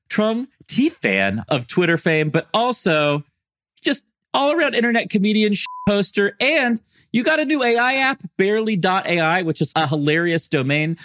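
A man co-hosting a podcast, upbeat and energetic in casual chat, laughing often. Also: sits high, no room sound, studio quality. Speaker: podcast co-host.

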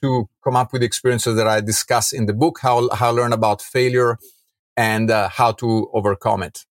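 A man's voice: mid-range voice, fairly even intonation, normal speed. The guest on a business podcast, an Italian man making emphatic points in a snug, echo-free studio. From Rome, Italy. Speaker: podcast guest, entrepreneur and author. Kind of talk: conversational interview.

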